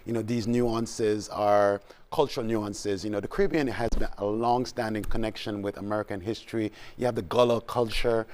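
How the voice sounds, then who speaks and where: Male panelist, lowish voice, measured explanatory delivery. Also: steady tone, a little mellow, a little hiss or hum. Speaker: panel guest. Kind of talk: TV panel discussion.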